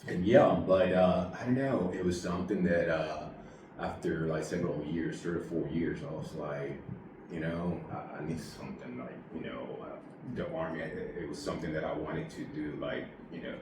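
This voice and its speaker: Very deep-voiced man, rambling, distant. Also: heavy reverb, background noise. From Puerto Rico. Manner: rambling, halting, many fillers. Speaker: guest, army veteran. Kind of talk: casual interview storytelling.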